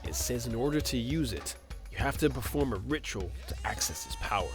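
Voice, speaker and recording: A woman's voice: extremely low pitch, lively intonation, fairly quick, over music. A woman playing a brash young male echidna adventurer in an audio drama, blunt, confident and teasing. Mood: teasing, brisk. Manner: blunt, cocky. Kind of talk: fan audio drama acting.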